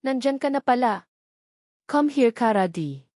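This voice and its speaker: A woman with a Filipino accent voicing a serious, soft-spoken, possessive billionaire man in an audiobook romance, earnest and switching between two languages. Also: fairly high pitch, lively intonation, measured pace, no echo, clean studio sound, pitched above the performer's own voice.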